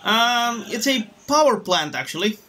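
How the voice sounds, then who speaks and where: A man's voice: very high voice, very animated, measured pace, bright, forceful tone, a little hiss or hum. A man from Romania chatting casually on a live stream while flying a simulator, rambling and restarting his sentences. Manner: rambling, hesitant, many restarts. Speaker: flight sim streamer.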